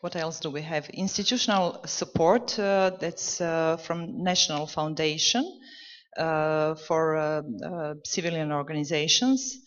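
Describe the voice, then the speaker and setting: A woman's voice: low-pitched, fairly even intonation, measured pace. Hesitant woman panelist in a hall. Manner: hesitant, many fillers. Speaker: panelist from a parents' organization. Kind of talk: conference panel answer. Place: conference hall. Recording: dull, reverberant hall recording.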